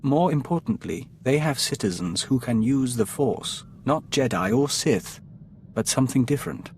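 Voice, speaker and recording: A man's voice: mid-range voice, animated, normal speed, a little mellow, a little hiss or hum, lightly acted. A man playing a formal intelligence analyst in a video game briefing, precise, clipped and matter-of-fact. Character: crisp, formal male Imperial intelligence analyst.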